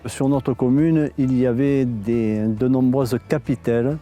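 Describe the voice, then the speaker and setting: A man giving a measured, slightly hesitant explanation in an interview, in the manner of a local official. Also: mid-range voice, fairly even intonation, warm tone.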